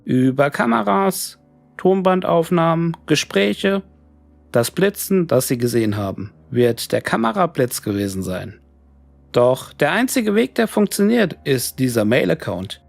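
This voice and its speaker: A man's voice: a little high, big pitch swings, unhurried, creaky, no room sound. A man reading a scripted horror story made up of written messages. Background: music.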